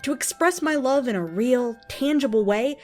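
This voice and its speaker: A woman's voice: sits high, lively intonation, normal speed, darkish tone, faint music behind. A woman voicing an earnest, lovestruck and easily offended devotee in a dramatic, emphatic reading of an open letter. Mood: tender, devoted. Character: earnest, lovestruck, indignant devotee of a cartoon pony.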